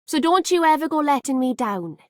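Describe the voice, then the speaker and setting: A woman's voice: high-pitched, sing-song, brisk, a little mellow, slight background noise. A woman voicing a carefree, headstrong young woman in a video game, breezy and colloquial. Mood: defiant, upbeat. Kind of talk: video game character voice lines.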